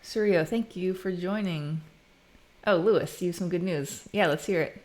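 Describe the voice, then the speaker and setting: American woman streaming some coding, lowish voice, chatty and informal, kinda thinking out loud. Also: moderate pace. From United States. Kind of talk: casual live stream chat while coding.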